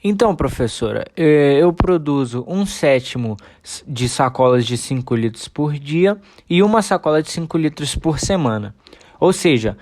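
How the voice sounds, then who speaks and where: Brazilian schoolboy played by an amateur actor for radio play: precise explainer, slightly high-pitched, matter-of-fact. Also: male voice, lively intonation, moderate pace, darkish tone, lightly acted. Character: male student, precise, teasing classmate. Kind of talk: scripted amateur radio drama.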